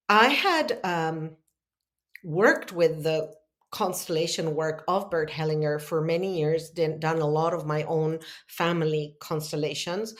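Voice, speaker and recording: A woman from Argentina, a guest on an interview podcast who explains at length in an earnest, heartfelt way, pausing and restarting as she thinks aloud. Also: low voice. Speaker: podcast guest, healing facilitator. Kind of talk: conversational interview, long explanations.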